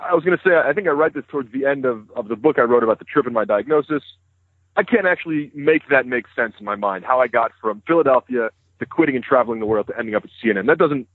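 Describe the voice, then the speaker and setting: Fast-talking man on a phone line. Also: medium pitch, no room sound. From United States. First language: Hebrew. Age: 30s. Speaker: interview guest, news correspondent. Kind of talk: phone interview conversation. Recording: dry, tinny phone line.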